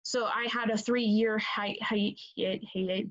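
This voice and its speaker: Young woman, native Italian speaker, student-leader interviewee; mid pitch, rambling, lo-fi sound. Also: steady tone, takes it slowly. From Italy. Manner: rambling, many fillers and restarts. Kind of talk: casual interview answer.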